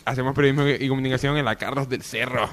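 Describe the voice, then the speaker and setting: Casting: young male comedy radio panelist, Spain accent, average pitch, informal bantering style. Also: fairly even intonation, fast talker, a little hiss or hum. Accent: Spanish from Spain. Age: young adult. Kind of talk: casual joking chat.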